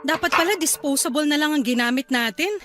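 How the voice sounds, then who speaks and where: Woman acting as very high-pitched, hurt young Filipino wife. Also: brisk, over music, lightly acted. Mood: curt, withholding. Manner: terse, sulky, emotionally pleading. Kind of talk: Filipino radio drama acting.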